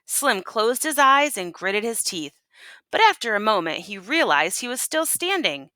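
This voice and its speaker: Woman, storybook read-aloud, folksy and expressive, lively pitch swings, dry sound. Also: unhurried, no echo. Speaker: teacher reading a story aloud. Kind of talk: animated picture-book read-aloud to listeners.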